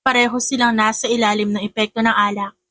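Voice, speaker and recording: A woman's voice: a little high, little pitch movement, quick pace, sharp tone, dry recording, clean studio sound. A woman with a Filipino accent reading a scripted romance story as an audiobook narrator.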